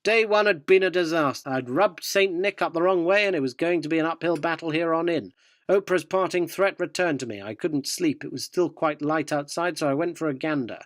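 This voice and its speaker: Wry, high-pitched male journalist narrator, acted. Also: brisk, a bit bright, dry recording, studio quality, close to an everyday voice. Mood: wry narrating. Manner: wry, provocative. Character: cheeky, cynical yuppie male journalist narrating his scoop. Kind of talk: radio drama narration and dialogue.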